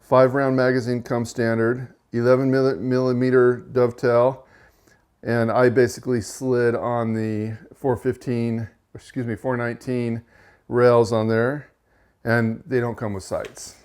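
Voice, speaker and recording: A man with a monotone, mid-pitched voice talks through gear in a relaxed, casual review. He pauses on fillers and corrects himself as he goes, and keeps an even delivery. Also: clean tone. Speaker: rifle reviewer and channel host.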